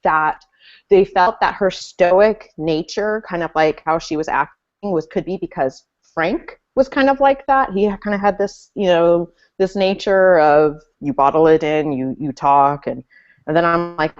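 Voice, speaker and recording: Woman with a lowish voice rambling on a video call, muffled audio. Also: moderate pace. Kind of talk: casual chat over video call.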